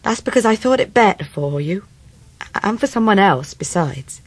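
Husky, homely English nursemaid. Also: female voice, mid-range voice, expressive, no echo. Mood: tender. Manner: halting, tender, plain-spoken. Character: warm, loyal, homely Victorian servant nursemaid. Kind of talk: 1990s radio drama acting.

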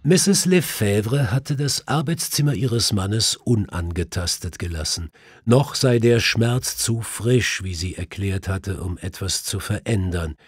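A man's voice: fairly low pitch, measured pace, husky, no room sound, clean studio sound. A man reading a mystery audiobook in a scripted, dramatic narrating style. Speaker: audiobook reader. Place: dry studio.

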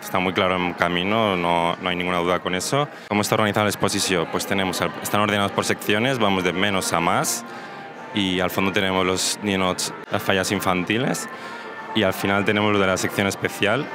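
A man's voice: deep voice, fairly even intonation, brisk. Enthusiastic man, music underneath. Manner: enthusiastic, addresses viewers directly. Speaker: vlogger. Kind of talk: walking tour vlog. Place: crowded indoor exhibition hall. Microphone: handheld mic held at the mouth.

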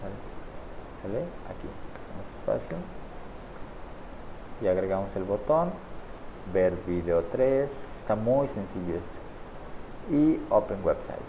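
Low-voiced guy in his 30s doing a chill screen tutorial, keeps checking in with viewers, kinda muffled audio. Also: background noise. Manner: casual, talks viewers through clicks with frequent "¿vale?" and "¿sale?" checks. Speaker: online marketing tutor. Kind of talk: screen-share software tutorial. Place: small indoor room at a desk.

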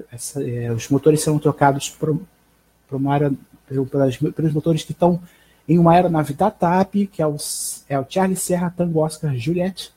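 A Brazilian man in his thirties with a slightly high, husky voice and a soft, mellow tone. He presents a news roundup live from home on a headset mic, informally and haltingly, often checking in with short tags. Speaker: live stream host. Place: small bedroom at home. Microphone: headset microphone.

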